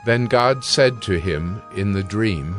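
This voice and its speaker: A man narrating scripture from a script in a measured, solemn manner. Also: on the low side, lively intonation, faint music behind.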